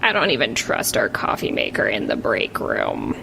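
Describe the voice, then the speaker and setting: A very low, creaky young woman played by a female performer, a jaded manic pixie mall worker in a comic monologue. The delivery is ironic and deadpan yet swoops widely in pitch, over faint noise. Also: moderate pace, exaggerated voice, rough recording. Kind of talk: scripted parody narration.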